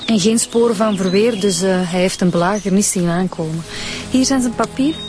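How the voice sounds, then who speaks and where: An actress playing an efficient, matter-of-fact police inspector in a radio drama, with a Flemish Belgian accent. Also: female voice, mid-range voice, fairly quick, music in the background, close to an everyday voice. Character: efficient, level-headed female police inspector. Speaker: actor in a radio serial. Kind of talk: naturalistic radio drama acting.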